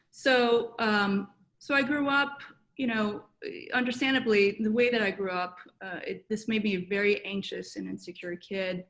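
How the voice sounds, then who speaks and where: A woman psychotherapist from South Korea, answering interview questions thoughtfully over a video call, with many pauses and fillers. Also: mid-range voice, poor audio. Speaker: guest psychotherapist. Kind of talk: reflective personal interview answers.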